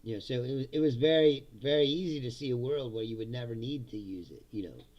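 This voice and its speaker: A man's voice: mid-range voice, fast talker, a little mellow, highs cut off. A middle-aged man from Indiana chatting casually on a livestream, laughing often and slipping into mock voices.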